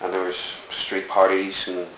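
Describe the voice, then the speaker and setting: A man's voice: deep voice, completely flat delivery, normal speed, fairly bright tone. A middle-aged man from Northern Ireland with a Belfast accent, recalling his childhood in a reflective, rambling way with many fillers. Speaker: interviewee. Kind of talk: oral history interview. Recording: echoey room with faint hiss.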